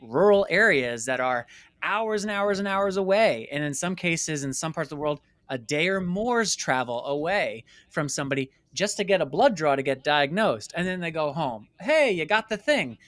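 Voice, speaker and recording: A man in his late thirties speaks as an interview guest with a fairly high-set voice. He tells things emphatically and conversationally, slipping into imagined dialogue as he goes. The sound is dry, with very little room in it. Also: lively intonation, normal speed, fairly bright tone, no echo. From New York City, United States. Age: late 30s. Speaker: interview guest, filmmaker and company founder. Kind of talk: animated conversational storytelling.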